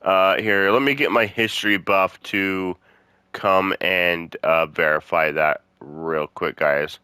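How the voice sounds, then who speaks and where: A man's voice: low voice, fairly even intonation, takes it slowly, sharp tone, clean tone, no echo. A man hosting a gaming video, giving casual commentary as he plays. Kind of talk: casual game playthrough commentary.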